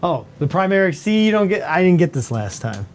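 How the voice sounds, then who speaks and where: A man giving casual, playful commentary while playing a space combat game, reacting quickly and laughing often. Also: high-pitched, sing-song, a little hiss or hum. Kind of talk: casual let's-play commentary.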